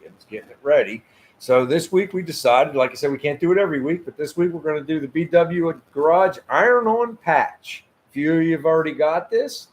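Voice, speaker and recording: A man in his fifties hosts a laid-back live show with his wife. His voice sits a little high, and he talks in an easygoing way, tripping over his words and laughing often. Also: fairly quick, a little hiss or hum. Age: 50s. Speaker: host. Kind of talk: casual livestream chat.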